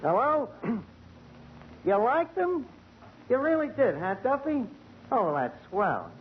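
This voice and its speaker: A man's voice: extremely high pitch, slow. A man playing a wisecracking tavern manager in a 1940s radio comedy, full of malapropisms. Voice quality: lively, wisecracking, malaprop-prone. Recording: muffled, hissy 1940 radio recording.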